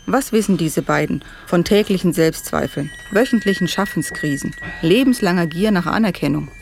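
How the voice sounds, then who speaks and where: A man with a fairly high voice and plenty of creak narrates for radio. His delivery is scripted, dry and ironic, over a clearly noisy recording with clicks. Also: moderate pace.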